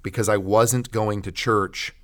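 A man's voice: lowish voice, steady tone, measured pace. A middle-aged American man giving a personal testimony in an interview, speaking emphatically like a preacher in short, repeated phrases. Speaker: pastor guest telling his testimony.

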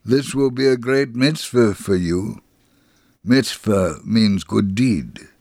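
An actor playing a kindly older Jerusalem man recounting his life in a measured, first-person dramatic reading. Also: lowish voice, a little mellow, no echo, clean studio sound. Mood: gentle storytelling.

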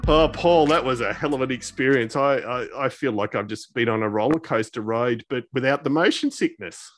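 A man's voice: medium pitch, moderate pace, no echo, faint music behind. A man hosting a podcast in a casual, conversational way, effusive and appreciative. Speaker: podcast host.

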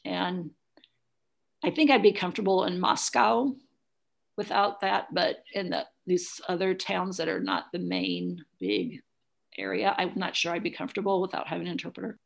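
Middle-aged female interview guest, gravelly lower register, plain-spoken personal recollection. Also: lively intonation, moderate pace. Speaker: interview guest, adoptive single mother. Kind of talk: conversational interview, recounting personal experience.

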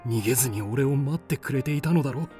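A man's voice: medium pitch, quick pace, dark tone, soft background music, close to an everyday voice. A man playing a reckless, passionate samurai in a radio drama, speaking intense, archaic warrior lines. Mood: dying, tender, gasping. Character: reckless, passionate young samurai in forbidden love.

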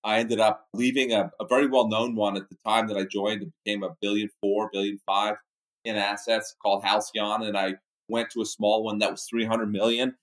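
A man in his fifties from the Bahamas, a business executive guest answering interview questions in a halting way with many restarts. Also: lowish voice, flat delivery, rough recording.

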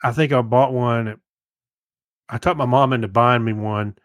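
A man in his fifties chats informally as a podcast co-host, in the loose style of someone swapping memories. His pitch is ordinary for a man, and the recording is dry with almost no echo. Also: fairly even intonation, normal speed. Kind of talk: casual chat, reminiscing.

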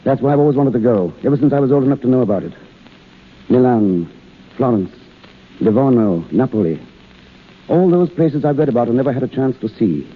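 An actor playing an assertive but polite, wry young engineer in a 1930s radio play. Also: male voice, mid-range voice, lively intonation, a little fast, long pauses, slightly rough. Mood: surprised, wistful. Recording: old 1930s radio broadcast, narrow muffled band.

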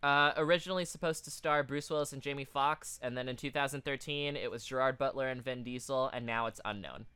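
A man's voice: medium pitch, fairly quick, hardly any pauses, sharp tone, clear, resonant tone, no echo. A man co-hosting a podcast in casual chat, reading out a list with blunt, sweary asides. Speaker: podcast co-host.

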